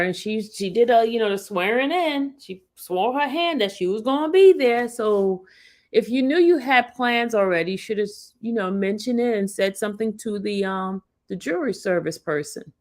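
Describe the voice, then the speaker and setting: A woman's voice: fairly high pitch, a little mellow. A woman, chatting on her livestream and laughing with her viewers. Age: 50s. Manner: chatty, laughs often, talks to her live chat. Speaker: livestream host. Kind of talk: casual livestream chat.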